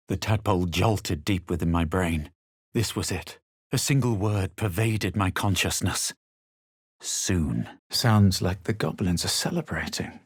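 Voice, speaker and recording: A self-assured young male adventurer from a fantasy role-playing game. The voice is husky and recorded very dry, with a dramatic, superior manner that suits both literary narration and sharp exclamations. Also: lowish voice, dry recording.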